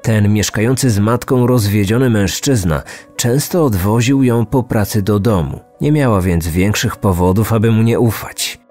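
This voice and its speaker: A man's voice: lowish voice, normal speed, hardly any pauses, a little mellow. A man in his thirties hosting and narrating a scripted true crime podcast. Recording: music behind, some reverb. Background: music.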